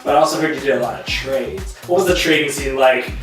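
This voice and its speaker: A man's voice: mid-range voice, quick pace, fairly bright tone, faint music behind. A man hosting an interview, asking questions with frequent hesitant fillers. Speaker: host. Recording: echoey room from far off.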